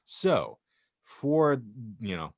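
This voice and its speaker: A man's voice: medium pitch, very animated, very slow, gravelly, no room sound. A man co-hosting a casual podcast, joking in a dry, mock-formal way. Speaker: podcast co-host.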